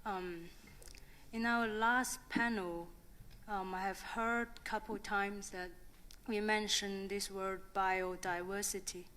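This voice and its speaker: A woman's voice: medium pitch, fairly even intonation, takes it slowly, bright, forceful tone. A young woman with a Chinese accent speaking on a conference panel, hesitant, with many fillers and restarts. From China. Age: young adult.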